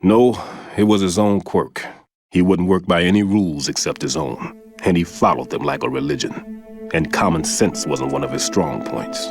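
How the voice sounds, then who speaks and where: An actor playing an older bomb disposal expert in a video game, plain-spoken and instructive. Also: male voice, low-pitched, moderate pace, music in the background. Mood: reflective. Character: older male bomb disposal expert and mentor, matter-of-fact. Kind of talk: video game cutscene acting.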